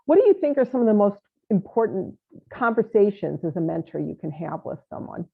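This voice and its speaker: A woman's voice: medium pitch, very animated, brisk, creaky, slightly rough. Brief: older woman host, upbeat and encouraging, heard over a thin phone-like line. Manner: enthusiastic, encouraging, many "you know" fillers. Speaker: podcast host. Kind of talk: casual interview chat.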